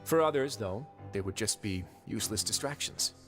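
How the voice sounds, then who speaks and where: Actor as game prison duke: lowish male voice, measured, formal, dryly understated, soft music underneath. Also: expressive, lightly acted. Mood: wry, calm. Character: composed, authoritative prison fortress duke, adult man. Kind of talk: video game voice acting. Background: faint music.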